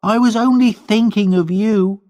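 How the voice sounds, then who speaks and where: A man's voice: high-pitched, a little slow, warm tone, pitched above the performer's own voice. Man doing a blunt, defiant young maid.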